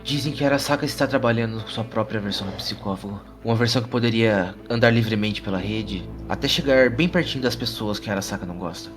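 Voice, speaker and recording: A man's voice: fairly low pitch, fast, hardly any pauses, lightly acted. Menacing, vengeful war-veteran journalist played by a man, Brazilian. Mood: sardonic, grim. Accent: Brazilian Portuguese. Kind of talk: audio drama acting. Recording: music under the voice.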